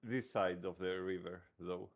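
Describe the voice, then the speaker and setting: Low-voiced man in casual game chat. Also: steady tone, a little slow, a crowd in the background. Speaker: tabletop game player.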